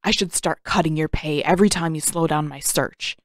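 A woman's voice: sits low, brisk, dry recording, studio quality. A woman voicing an impatient, hot-tempered teenage prince, curt and demanding, in an audiobook. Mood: irritated. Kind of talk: audiobook character voice.

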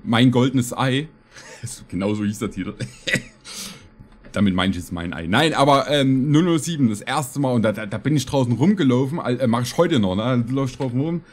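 A man's voice: mid-range voice, animated, normal speed. A man in his thirties hosting a reaction video, rambling and joking casually at a close microphone. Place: small streaming room indoors.

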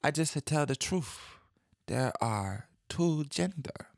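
A man's voice: fairly high pitch, expressive, slow, creaky, dry recording, studio quality, heavily acted. A man playing a self-assured, traditional foreign sage as a radio guest, speaking broken English in emphatic pronouncements. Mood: firm. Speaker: recurring radio show guest. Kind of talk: comedic radio interview.

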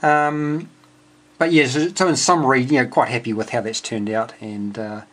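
A man's voice: mid-range voice, fairly even intonation, moderate pace, a bit bright. A man with a New Zealand accent giving a casual, unscripted technical walkthrough as a radio hobbyist, hesitant and speaking in short phrases. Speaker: amateur radio hobbyist presenting his homebuilt receiver. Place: indoors at a home workbench. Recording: clearly noisy home video with clicks.

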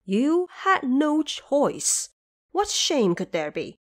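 A woman's voice: high-pitched, sing-song, takes it slowly, voice pushed up, exaggerated voice. Elderly male prime minister voiced by a woman, firm, consoling father figure for an audio drama. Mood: gentle insistence.

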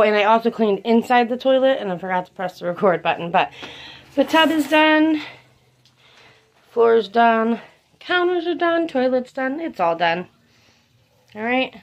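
A woman's voice: a little high, dry recording. Casual young woman vlogger. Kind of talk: casual chat to viewers. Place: small rooms of a mobile home, indoors.

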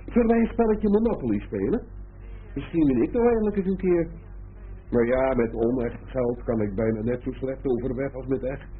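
A man's voice: mid-range voice, brisk, close to an everyday voice. An actor playing a middle-aged, joking father in a radio play, wry and bantering, breezy and affectionate. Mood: playful. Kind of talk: Dutch radio play acting. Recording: muffled phone-like old radio recording, hissy.

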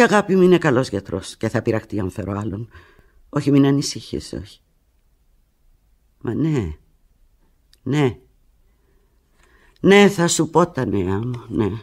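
Radio play lead: heartbroken woman clinging to her lover by phone, husky, intense, fragmented delivery. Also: heavily acted. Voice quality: low, husky. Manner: intense, fragmented, swinging from hushed to anguished. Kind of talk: radio drama monologue, one side of a phone call.

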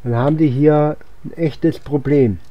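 A man's voice: a little high, steady tone, measured pace, highs cut off. A man gives casual, rambling video game commentary, thinking aloud as he plays. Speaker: gaming channel host. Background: game music and sound effects.

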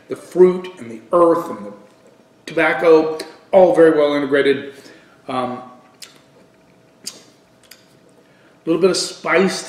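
A middle-aged man giving a casual wine review on video, thinking aloud haltingly and repeating words for emphasis. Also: fairly high pitch. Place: living room at home, indoors. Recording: echoey room.

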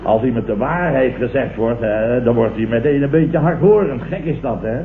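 A man's voice: mid-range voice, normal speed, lightly acted. An actor playing a vain, pompous middle-aged businessman who blusters and repeats himself in an old radio comedy. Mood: sarcastic. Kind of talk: 1970s Dutch radio comedy acting. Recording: old tinny, noisy, echoey radio recording.

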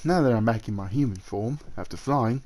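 A man playing a male dragon in human form, an eager, possessive suitor talking softly and haltingly to the listener in a roleplay audio drama. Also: mid-range voice, close to an everyday voice. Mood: eager, fond.

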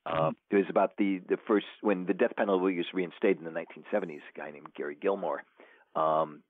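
A man's voice: low-pitched, steady tone, fast, no room sound. A male author talking casually as an interview guest, rambling with many fillers and restarts. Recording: tinny phone line, dry.

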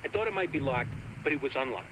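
A man's voice: mid-range voice, lively intonation, quick pace, a bit bright, slight background noise, lightly acted, poor audio. A man playing a casual but creepily fascinated cab driver calling a radio show in a video game. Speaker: radio caller.

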